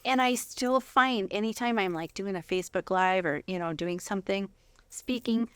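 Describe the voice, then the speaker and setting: A woman with a Minnesotan accent speaks in a clear, smooth voice at a middling pitch. Her manner is laid-back, and the sound is close and dry. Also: normal speed, a bit bright, no echo. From Minnesota, United States. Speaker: guest reader, life coach. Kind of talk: scripture reading and interview chat.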